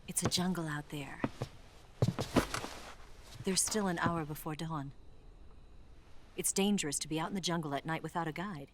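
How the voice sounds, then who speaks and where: A woman as a coy, low-voiced female spy. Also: normal speed, pauses often, close to an everyday voice. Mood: concerned, guarded. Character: flirtatious, cool female spy and guide. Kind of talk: cinematic video game acting. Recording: clearly noisy background.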